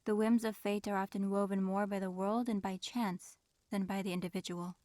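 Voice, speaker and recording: Audio roleplay: proud, aloof dragoness in human guise, played by a woman, mid-range voice, measured formal speech, dry studio-close sound. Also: mid-range voice, little pitch movement, warm tone, dry recording, natural voice. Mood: solemn, softening. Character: proud, reserved female dragon in human form. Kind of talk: audio roleplay acting, addressing the listener.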